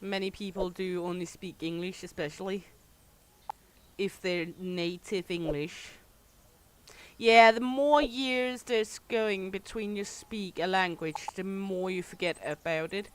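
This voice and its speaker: A woman's voice: mid-range voice, steady tone, a little slow. Danish woman chatting on a stream. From Denmark. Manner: chatty, candid, reads and answers chat aloud. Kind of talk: casual livestream chat while gaming.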